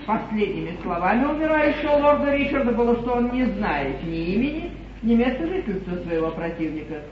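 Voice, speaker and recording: An actress playing an imperious English duchess in a 1950s stage comedy, with cool, authoritative, measured delivery. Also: female voice, on the high side, very animated. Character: imperious, commanding English duchess at the royal court. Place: theatre stage. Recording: distant dull 1950s theatre recording, echoey, hiss.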